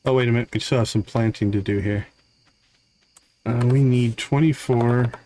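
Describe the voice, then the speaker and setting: A man's voice: mid-range voice, fairly even intonation, moderate pace, mellow. A man doing casual live game commentary, thinking aloud and talking himself through each task step by step. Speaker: live game streamer, host.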